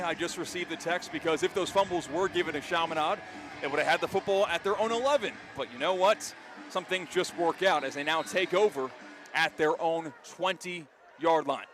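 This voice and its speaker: An American man doing live play-by-play radio commentary of high school football, excitable and ready to shout the big calls. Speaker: play-by-play announcer.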